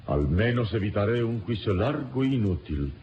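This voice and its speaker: An actor playing a calm, resigned adventurer hero in a radio serial, speaking in a measured, solemn, formal way. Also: male voice, lowish voice. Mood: calm acceptance. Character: calm, resigned turbaned adventurer hero, confessing under interrogation. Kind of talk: old radio serial acting. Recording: dull, noisy old radio recording.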